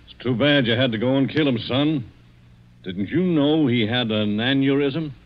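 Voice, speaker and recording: A man's voice: medium pitch, normal speed, lightly acted. A muffled vintage radio crime drama recording. A male actor plays an American police inspector with a blunt, goading manner. He is impatient and skeptical with everyone he questions. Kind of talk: 1940s radio drama acting.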